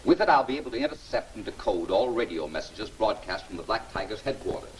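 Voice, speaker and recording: Brisk, assured 1940s serial hero played by a man. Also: fairly low pitch, animated, lightly acted. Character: confident male scientist-detective hero, brisk and unruffled. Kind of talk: 1940s film serial acting. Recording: faintly noisy old 1940s film soundtrack.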